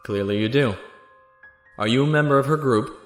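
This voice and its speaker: An actor playing a stiff, earnest young imperial officer in an audio drama, formal and stern. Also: male voice, medium pitch, normal speed, lightly acted. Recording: echoey room, music behind.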